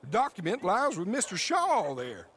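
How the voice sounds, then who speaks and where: Oily frontier businessman villain, highish voice, very animated delivery. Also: normal speed, exaggerated voice. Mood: indignant, pompous. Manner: oily, florid, falsely courteous. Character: smarmy, self-righteous villainous businessman of the Old West. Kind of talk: cinematic western game acting.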